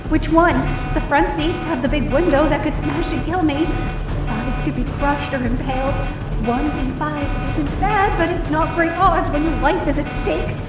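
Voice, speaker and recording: Woman playing an anxious, high-voiced horror heroine narrating. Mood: panicked. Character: anxious woman recounting her terrifying ordeal in first person. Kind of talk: dramatic scripted horror narration. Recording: roomy, distant sound with music bed.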